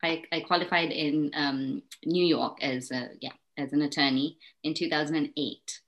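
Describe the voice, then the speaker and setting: A woman's voice: low voice, moderate pace. A woman in her forties, a guest on a podcast, talking casually and animatedly with frequent laughs and ums. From Hong Kong. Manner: lively, laughs often, many ums. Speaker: guest, parenting coach. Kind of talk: casual interview chat.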